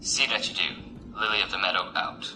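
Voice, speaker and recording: An actor playing a stern, officious imperial navy officer with a clipped, authoritative manner in a science fiction audio drama. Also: male voice, sits low, steady tone, moderate pace, noisy background, close to an everyday voice. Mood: stern, warning. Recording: music behind the voice.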